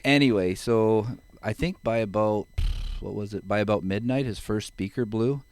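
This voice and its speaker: A man's voice: fairly low pitch, moderate pace, darkish tone. A middle-aged man from the Netherlands, a guest in an interview, telling stories in an easygoing way and chuckling along. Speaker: guest, genetics advisor. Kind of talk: relaxed interview storytelling.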